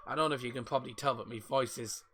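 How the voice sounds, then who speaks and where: Croaky-voiced man commentating live. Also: medium pitch, rapid-fire, noisy background. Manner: casual, rambling, mixes up names. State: croaky sore throat. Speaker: football stream host. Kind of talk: live match commentary and chat.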